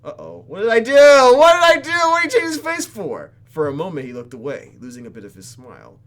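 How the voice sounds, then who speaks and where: A man's voice: extremely high pitch, wildly swooping pitch, a little fast. A man hosting a gaming video, reading game text aloud and adding theatrical, jokey asides, with some noise behind him.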